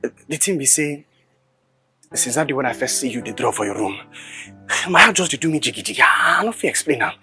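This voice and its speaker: Devoted young nigerian house helper, film drama, super animated, repeats himself, music underneath. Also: male voice, medium pitch, fast, long pauses. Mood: nervous, pleading. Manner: pleading, repetitive, emphatic.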